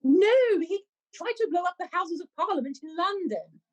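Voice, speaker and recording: A brisk village chairwoman acted by a woman with a very high, lively voice. The lines are businesslike and drily witty, in pantomime radio-play style. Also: dull audio. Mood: dry. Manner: brisk, businesslike, dry wit. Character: brisk chairwoman of a village women's committee, Hilda.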